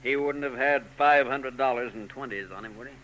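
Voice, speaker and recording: A man's voice: mid-range voice, brisk, fairly bright tone, close to an everyday voice, low-quality recording. An actor playing a guarded, curt wealthy male engineer in a 1940s radio drama. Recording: old radio broadcast tape with faint noise.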